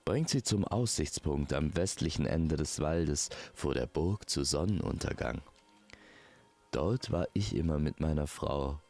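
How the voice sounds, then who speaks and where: Man as middle-aged king, low voice, authoritative, fatherly, admonishing, storybook audio play. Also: animated, a little slow, vocal fry, darkish tone, exaggerated voice. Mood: advising.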